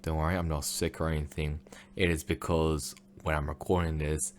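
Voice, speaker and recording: A tired man talking quietly late at night in a casual solo video, rambling with lots of fillers and restarts. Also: flat delivery, normal speed. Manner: a bit quiet, lower than usual. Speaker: esports commentary YouTuber.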